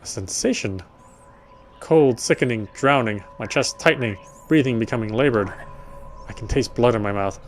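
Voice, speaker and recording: A man's voice: medium pitch, moderate pace. A man reading a horror story aloud in dramatic narration, sometimes stumbling and rereading phrases. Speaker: gameplay streamer reading a visual novel aloud. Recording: music behind the voice. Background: game music.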